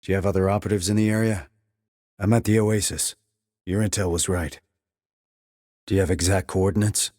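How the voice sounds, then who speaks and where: An actor playing a terse, cool-headed sniper operative in a video game, with clipped, controlled military delivery and a low husky voice. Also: male voice, steady tone, brisk, pauses often, mellow, no room sound, clean studio sound. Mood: focused, controlled. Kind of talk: military radio chatter, game dialogue.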